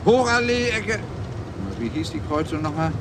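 A male actor as an officious, muddled police sergeant in a radio drama. His voice is high and very animated, with clear vehicle noise behind it. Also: normal speed, close to an everyday voice. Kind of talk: radio play acting.

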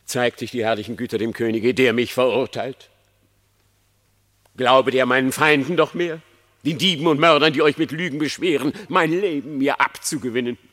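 An actor playing a sly, eloquent fox in a verse radio play, persuasive and ingratiating in a declamatory way. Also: male voice, mid-range voice, moderate pace, fairly bright tone, natural voice. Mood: indignant pleading. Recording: reverberant studio sound.

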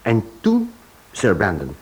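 A man's voice: lowish voice, wildly swooping pitch, slow pace, full character voice. An actor playing a cheeky, talkative male servant in a radio detective play, theatrical and colloquial. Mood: aggrieved, dramatic. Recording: hissy old radio play recording.

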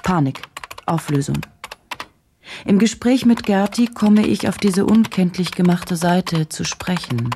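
An actress playing a driven, troubled journalist in a German radio drama, speaking with brooding, indignant intensity and deliberate phrasing. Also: female voice, on the low side, lively intonation, warm tone, a little hiss or hum. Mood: tense, analytical. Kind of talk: German radio drama, dialogue and inner monologue.

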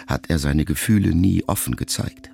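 A man reading a letter aloud as an audiobook, in a measured, reflective way. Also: sits low, gravelly. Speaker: narrator. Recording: loud music underneath.

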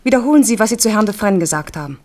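An actress playing a devoted, proud young noblewoman, a king's mistress, in a radio drama with formal, deliberate diction. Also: mid-range voice, expressive, darkish tone, natural voice. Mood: cool indignation. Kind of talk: 1970s German radio drama acting.

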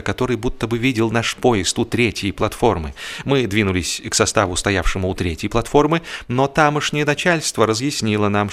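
A man narrating a humorous literary story in an expressive, measured, mock-solemn way. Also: medium pitch. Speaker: audiobook narrator.

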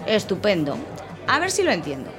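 Una mujer interpretando a una joven archivera irritable y sarcástica en una ficción sonora. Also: female voice, mid-range voice, huge pitch swings, brisk. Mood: sarcastic, exasperated. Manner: sarcastic, exasperated. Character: irritable, sarcastic young female file clerk. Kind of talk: audio drama acting. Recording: voice over music and bar crowd.

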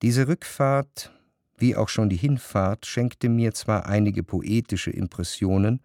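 Measured, low-voiced gentleman narrator. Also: no room sound, studio quality. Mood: reflective, measured. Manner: measured, articulate reading of long sentences. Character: reflective gentleman narrator recalling his past. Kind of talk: literary radio drama narration.

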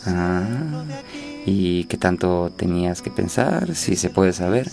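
A man's voice: low voice, lively intonation, slow, creaky, a little mellow, faint music behind, exaggerated voice. A man playing a friendly, shyly lovestruck bar worker in a radio drama, earnest and familiar. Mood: probing.

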